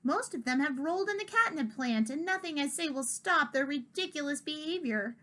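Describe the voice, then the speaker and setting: A woman's voice: high-pitched, expressive, moderate pace, a bit bright, pitched above the performer's own voice. A woman voicing a grumpy, fretful old male cat who growls complaints.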